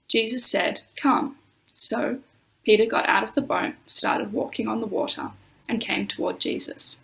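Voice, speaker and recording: A woman reading scripture aloud in a measured, solemn way during a church service. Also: mid-range voice, vocal fry, fairly bright tone, poor audio. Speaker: scripture reader.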